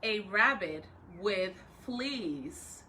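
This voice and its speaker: A woman talking and reading aloud to young pupils as a school educator, with expressive, drawn-out phrasing. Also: mid-range voice, slight background noise. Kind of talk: read-aloud for children with chatty intro.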